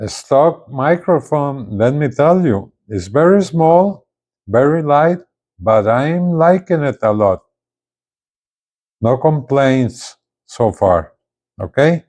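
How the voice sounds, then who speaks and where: A man presents a casual hands-on review in a fairly low voice. He takes his time, speaking very slowly and haltingly, and often stops to check that viewers follow. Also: darkish tone. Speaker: gadget reviewer presenting a CB radio. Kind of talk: casual hands-on product demonstration.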